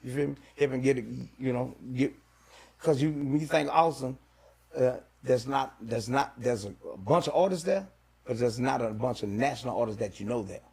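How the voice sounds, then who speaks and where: Man, rapper guest, casual podcast chat, gravelly, husky. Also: medium pitch, normal speed, a little mellow. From Louisiana. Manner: laid-back, repeats "you feel me" constantly.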